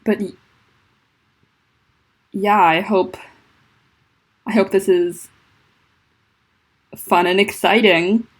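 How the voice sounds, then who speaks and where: A woman with a deep voice and a lisp chats casually on a livestream from home, rambling and self-conscious, with lots of fillers. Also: fairly even intonation, drawn-out words, pauses often. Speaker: livestreaming fanfiction writer. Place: at home indoors. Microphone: laptop mic.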